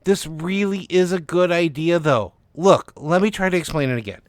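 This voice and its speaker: Man playing a pleading, high-pitched stubborn novelist. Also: moderate pace, no echo, clean studio sound, close to an everyday voice. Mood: eager, defensive. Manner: insistent, pleading. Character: stubborn, eager male novelist pleading for his idea.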